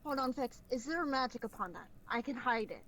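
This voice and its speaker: A woman's voice: on the high side, expressive, fairly quick, crowd noise. A woman improvising in an online roleplay, eager and pleading, often repeating the start of her phrases. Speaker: roleplay player. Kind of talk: improvised roleplay, casual chat. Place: online VRChat session. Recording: faintly noisy voice-chat microphone.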